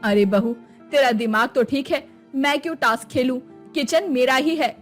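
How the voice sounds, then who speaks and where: A woman's voice: sits high, normal speed, soft background music, natural voice. A woman voicing an exasperated, indignant older mother-in-law who scolds in a family drama story.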